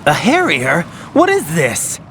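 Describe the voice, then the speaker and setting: An actor playing a rattled young American special forces rookie in a dramatic video game, urgent and questioning. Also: male voice, a little high, huge pitch swings, takes it slowly, sharp tone, slight background noise, full character voice. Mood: alarmed.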